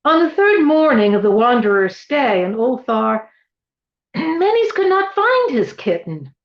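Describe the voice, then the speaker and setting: Slow, high-voiced woman reading a tale dramatically. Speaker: reader at a live library event of dramatic readings. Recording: echoey, dull-sounding room recording.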